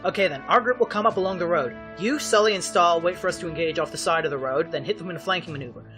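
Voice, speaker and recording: An actor playing a quick-witted, composed young male tactician in a fan audio drama, practical and orderly. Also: high voice, music in the background, close to an everyday voice. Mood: composed, decisive.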